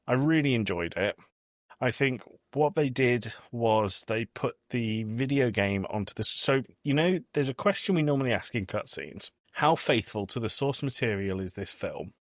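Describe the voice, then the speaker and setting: A man hosting a podcast, chatting casually about films in an animated storytelling way. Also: mid-range voice, normal speed, dry recording, studio quality. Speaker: podcast host. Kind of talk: casual film review chat.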